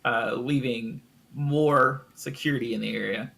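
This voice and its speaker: A man narrating a tabletop game as game master, improvising with frequent ums and pauses. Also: mid-range voice, fairly even intonation, vocal fry, fairly bright tone.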